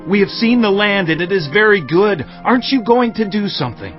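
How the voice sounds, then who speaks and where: A man playing a bold, urgent Danite warrior scout in a dramatised audio Bible, with a forceful, commanding delivery. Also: high voice, expressive, a little fast, lo-fi sound, faint music behind. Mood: urgent, rousing.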